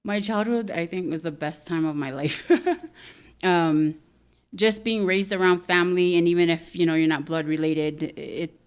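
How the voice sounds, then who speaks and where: A soft-spoken woman in her thirties from Hawaii, a guest on a podcast. Her voice sits on the low side and sounds smooth and resonant. She talks thoughtfully, at ease, with light laughs. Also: a little slow. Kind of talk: casual interview chat.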